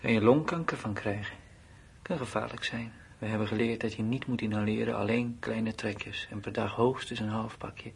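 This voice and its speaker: An actor playing a blunt, uneasy soldier in a Dutch radio drama, with plain, colloquial, matter-of-fact delivery. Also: male voice, on the low side, steady tone, moderate pace, vocal fry, husky, heavily acted. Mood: blunt, resigned. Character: blunt, uneasy male soldier left behind at his post. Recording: noisy old radio play recording.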